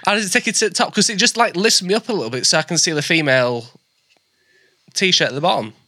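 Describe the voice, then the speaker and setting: A man with a naturally high, bright voice chats and jokes his way through a casual podcast conversation. He is recorded close on a studio microphone with a dry sound and no room echo. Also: fast. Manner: chatty, joking, self-deprecating. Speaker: podcast host. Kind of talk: casual chat. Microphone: dynamic studio mic with preamp.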